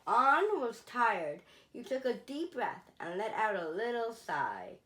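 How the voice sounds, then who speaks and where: A woman reading a children's story aloud in a deliberate, measured way, with pauses between phrases. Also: on the high side, very animated, a bit bright, reverberant.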